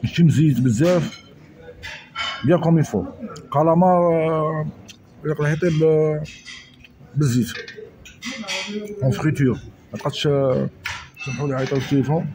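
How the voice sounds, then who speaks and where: A man's voice: on the high side, fast, pauses often, background noise. Chatty Algerian man vlogging his meal. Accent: Algerian Arabic, Oran. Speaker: food vlogger. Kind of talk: casual chat while eating. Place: outdoor restaurant terrace. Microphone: phone camera held over the plate.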